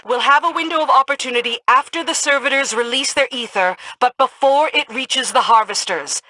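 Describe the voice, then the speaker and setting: A woman playing a brisk military commander in a video game, commanding and dryly witty. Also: sits high, pushed and bright, no echo, poor audio. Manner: commanding, dry wit. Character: brisk, wry female military commander serving a queen. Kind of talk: video game character acting.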